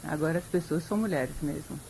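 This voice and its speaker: An older woman historian, being interviewed for a radio program. She speaks in a measured, thoughtful way, pausing often to find her words. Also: sits low, vocal fry, a little mellow, a little hiss or hum, poor audio. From Europe. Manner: measured, thoughtful, with frequent hesitations.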